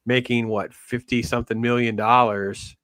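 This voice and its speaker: A middle-aged man as a guest in a casual chat, explaining things in a wordy, informal, animated way. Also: mid-range voice, normal speed, no room sound. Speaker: panel guest.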